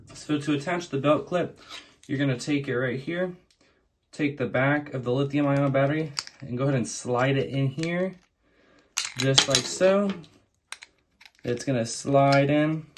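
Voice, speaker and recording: A man's voice: mid-range voice, measured pace, pauses often, background noise. A man hosting a casual product unboxing, explaining things plainly, step by step. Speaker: unboxing video host.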